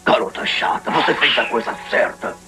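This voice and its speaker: A man voicing a sly, sinister smoke creature in a dubbed cartoon, coaxing and sneering with a gravelly voice. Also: medium pitch, moderate pace, bright, forceful tone. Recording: old cartoon soundtrack with music.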